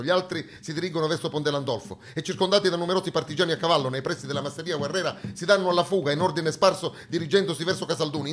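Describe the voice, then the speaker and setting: A man performing a dramatic theatrical monologue, narrating historical events in a deliberate, declamatory way. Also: medium pitch. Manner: declamatory, deliberate, storytelling delivery. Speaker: stage actor and narrator.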